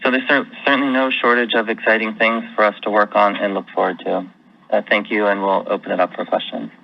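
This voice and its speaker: A man's voice: fairly low pitch, level tone, fairly quick, creaky. A man speaking as a company finance executive, delivering prepared remarks on an earnings call. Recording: dull lo-fi conference call audio.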